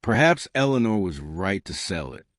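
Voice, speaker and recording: A man voicing a shrewd, modest elderly lady sleuth in a storybook mystery, with a gentle, knowing manner. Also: deep voice, very animated, moderate pace, dry recording, studio quality. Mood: pensive.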